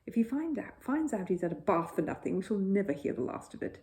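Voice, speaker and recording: Fussing, gently bossy wife for a bedtime storybook, voiced by a woman reader; animated, soft tone. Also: mid-range voice, quick pace, lightly acted. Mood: chiding. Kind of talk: children's bedtime storybook reading.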